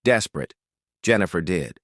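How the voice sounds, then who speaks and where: A man's voice: low voice, animated, moderate pace, dry recording, studio quality. A man with a Nigerian accent narrating a scripted story in a dramatic style.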